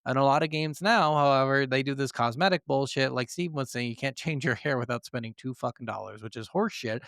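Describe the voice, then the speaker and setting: Male podcast co-host, mid-pitched, smooth clear voice, dry close sound, blunt and sweary casual chat. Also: fast, a bit bright, dry recording.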